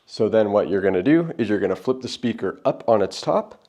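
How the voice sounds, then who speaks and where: A man's voice: on the low side, lively intonation, a little fast, warm tone, a little hiss or hum. A man hosting an unboxing, giving casual, plain-spoken step-by-step setup instructions. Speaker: product unboxing host.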